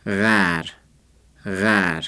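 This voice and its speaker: A man of thirty-five from Yazd with an Iranian accent, a native Persian speaker, reading out a numbered word list in a deliberate way. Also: low-pitched, steady tone, bright, forceful tone, clear, resonant tone, dry recording. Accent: Iranian (Yazdi). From Yazd, Iran. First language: Persian (Yazdi dialect). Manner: deliberate, isolated words with numbered glosses. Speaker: language informant.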